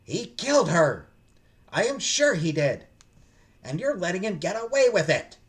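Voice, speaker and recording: Give the part of a vengeful dead mother haunting a girl's head, acted by a man. The voice is accusing, swinging between shouts and hisses, with wild changes in pitch over music. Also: big pitch swings, a little slow, full character voice. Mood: screaming fury.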